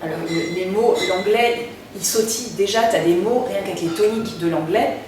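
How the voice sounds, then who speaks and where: A French woman, a singer, answering interview questions in a casual, halting way, full of fillers and restarts. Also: mid-range voice. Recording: very echoey room, distant mic, noticeable background noise.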